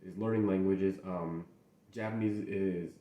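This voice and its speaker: A young man hosts a casual solo chat, his voice low and mellow. He rambles and halts, often restarting his sentences. The room around him is echoey. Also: steady tone. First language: English. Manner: rambling, halting, with frequent restarts. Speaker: host.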